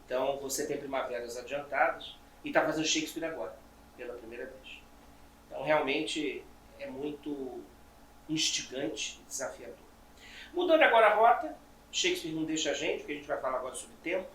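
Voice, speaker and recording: A man's voice: medium pitch, lively intonation, a little fast, slight background noise. A man hosting an interview, asking long, admiring questions in a conversational tone. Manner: warm, admiring, asks long rambling questions. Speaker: interviewer, host. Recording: roomy echo, distant mic, faint ambience.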